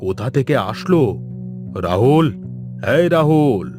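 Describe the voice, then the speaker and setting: A man's voice: a little high, lively intonation, slow. A man voicing a hot-tempered, domineering village headman who threatens and bellows orders in a fairy-tale cartoon. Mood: accusing, greedy. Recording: loud music behind the voice.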